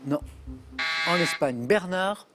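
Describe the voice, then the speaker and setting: A man's voice: high-pitched, takes it slowly, noisy background. A man hosting a TV quiz show, reading questions and joking with contestants in a studio. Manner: lively, jokey, reads questions then banters with contestants.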